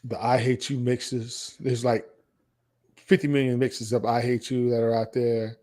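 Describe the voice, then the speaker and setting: Male podcast host, medium pitch, casual and enthusiastic, slangy, leaning on repetition for emphasis. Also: little pitch movement, measured pace, mellow. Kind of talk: casual music chat.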